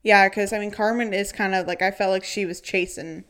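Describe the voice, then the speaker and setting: A young woman co-hosting a podcast, chatting fast and excitedly about dating shows, full of fillers. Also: medium pitch. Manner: excitable, rambling with lots of "like". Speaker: podcast co-host. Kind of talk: casual chat.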